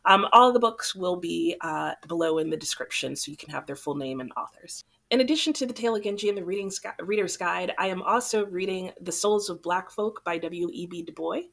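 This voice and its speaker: A woman's voice: on the low side, a little fast, creaky, hardly any pauses. A young woman hosting her book channel, chatting casually to camera while a little sick, so her voice sounds slightly off. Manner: chatty, relaxed, rambling.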